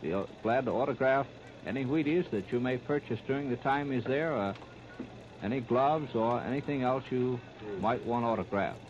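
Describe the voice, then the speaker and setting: 1930s American baseball announcer, muffled noisy recording. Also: male voice, mid-range voice, normal speed. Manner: clipped present-tense running description. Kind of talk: 1930s radio sports commentary. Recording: muffled, very noisy 1939 radio disc.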